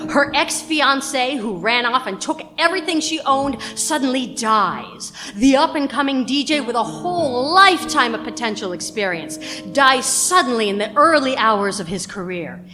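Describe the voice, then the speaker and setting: A woman's voice: sits high, lively intonation, a little slow, hardly any pauses, over music. A woman playing a ruthless, persuasive radio station schemer with an assertive, emphatic delivery in a radio play. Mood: excited scheming.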